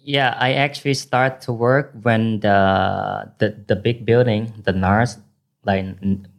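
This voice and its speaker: A man from Thailand with a Thai accent, chatting casually in halting, non-native English as an interview guest. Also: lowish voice, fairly even intonation, gravelly. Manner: halting, informal non-fluent English with repeated words. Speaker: interview guest, party promoter. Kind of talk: casual chat.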